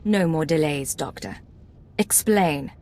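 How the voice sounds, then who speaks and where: Hard-edged female spy, lowish voice, curt, commanding, wary, game dialogue. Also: slow pace, darkish tone. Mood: stern, impatient. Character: hard-edged, suspicious female imperial secret agent. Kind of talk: video game voice acting.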